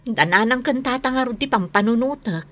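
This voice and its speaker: A woman's voice: a little high, expressive, a little fast, a bit bright. A woman playing a grown daughter fretting over family trouble in a radio drama, with an Ilocano accent and a sighing, complaining manner. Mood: troubled.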